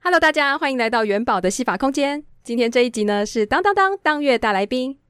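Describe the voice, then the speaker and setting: A woman's voice: high-pitched, expressive, fast talker, a bit bright, smooth. A woman with a Taiwanese Mandarin accent who hosts a radio show, presenting scripted introductions and interviewing a guest. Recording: music playing behind the voice. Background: music.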